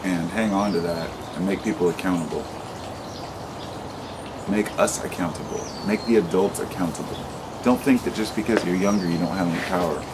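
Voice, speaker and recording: Low-voiced man ranting in a vlog. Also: fairly quick, noisy background. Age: 30s. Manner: rambling and impassioned, with profanity and restarts. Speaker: vlogger. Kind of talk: unscripted monologue to camera.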